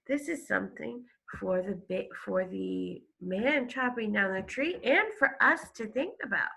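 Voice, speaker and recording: Bright-voiced woman teacher reading to children. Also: mid-range voice, unhurried, gravelly, breathy edge. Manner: expressive, explains to listeners with questions and gasps. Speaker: elementary school teacher. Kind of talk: read-aloud lesson for children, with explanations.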